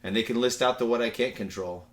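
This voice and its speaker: A man's voice: mid-range voice, fast talker. A man with an American accent giving a casual, digressive lecture in a conversational, anecdotal way, like a university teacher.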